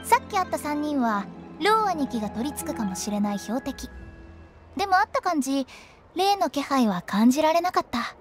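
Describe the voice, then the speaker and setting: A woman's voice: high-pitched, sing-song, normal speed. A woman voicing a cheeky teenage girl who runs a funeral parlor in an anime game, teasing and theatrical with a sweet salesy patter. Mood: animated, explaining. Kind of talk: Japanese anime game voice acting. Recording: music under the voice. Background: game music.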